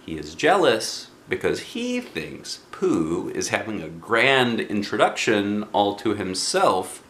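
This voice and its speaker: Low-voiced man reading a storybook aloud, animated. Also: takes it slowly, reverberant. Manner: expressive, with asides to young listeners. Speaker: storybook reader. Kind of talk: animated children's book read-aloud.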